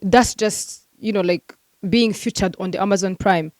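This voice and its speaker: A woman's voice: mid-range voice, normal speed, darkish tone. A chatty woman rattles through football transfer gossip in a casual vlog, full of fillers and direct asides to her viewers. She has a middle-range voice and sounds like an exasperated fan. The sound is dry and close, with no room echo. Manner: exasperated, venting as a frustrated fan. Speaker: football transfer news host.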